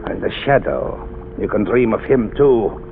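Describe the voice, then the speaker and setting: A man's voice: on the low side, unhurried, vocal fry. An actor playing a boastful, murderous male doctor in a 1930s radio drama, menacing and gloating. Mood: sinister calm. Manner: menacing, gloating, softly sinister when calm. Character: cold male mad doctor killer, boastful. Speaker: villain. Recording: tinny old 1930s radio recording with hiss.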